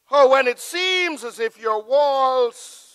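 A middle-aged pastor preaching a sermon from the pulpit of a large church, swelling to loud emphatic peaks and dropping to a hushed prayerful close. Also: male voice, extremely high pitch, lively intonation, slow, clean tone, no echo. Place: large church sanctuary with choir behind. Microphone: pulpit mic.

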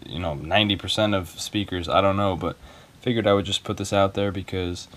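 A man's voice: deep voice, steady tone, fairly quick, a bit bright. A man talking casually and a little hesitantly through a hands-on repair tutorial, unscripted and informal. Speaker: video maker giving a repair tutorial. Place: small cluttered carpeted room indoors.

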